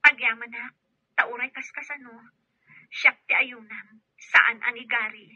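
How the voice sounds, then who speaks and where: A woman's voice: fairly high pitch, fairly even intonation, unhurried, bright, forceful tone, husky, dry recording, heavily acted. An actress playing a suspicious, determined wronged wife in a radio drama, pressing and accusatory as she talks. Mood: resigned. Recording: dull telephone-like lo-fi sound.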